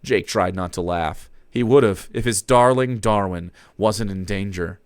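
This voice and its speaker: A man's voice: on the low side, unhurried, clean tone, dry recording. A young man streaming, giving a theatrical reading of fan fiction and breaking off to comment, on a close desk mic.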